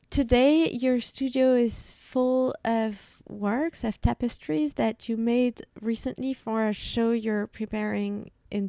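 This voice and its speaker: A woman interviewer who asks calm, direct questions. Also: a little high, no echo.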